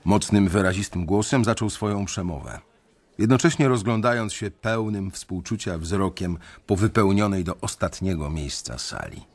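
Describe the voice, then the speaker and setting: A young man narrating a radio drama in the first person, measured and brooding. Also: low-pitched. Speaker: narrator and main character.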